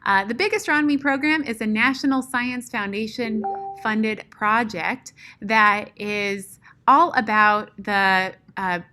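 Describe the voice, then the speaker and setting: Woman, webinar host, bright clear tone, upbeat announcing, uh fillers. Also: on the high side, slow. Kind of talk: scripted webinar introduction. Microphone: video call.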